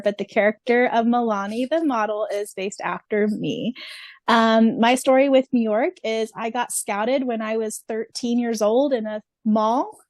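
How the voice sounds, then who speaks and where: A woman's voice: on the high side, darkish tone, no echo. A woman chatting casually on a podcast in an informal, storytelling way as a co-host. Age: 30s or older.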